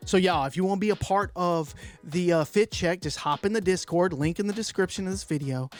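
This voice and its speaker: A man co-hosting a retro podcast, reading fan mail in a relaxed, slangy, joking way. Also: sits high, brisk, faint music behind. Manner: laid-back, slangy, laughs easily. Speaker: podcast co-host.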